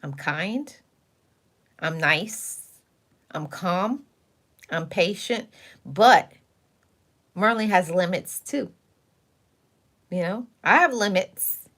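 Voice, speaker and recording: A woman's voice: mid-range voice, sharp tone. A woman hosting a livestream, talking casually and sassily to her chat, giving motivational advice in a preachy, straight-talking way. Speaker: livestream host.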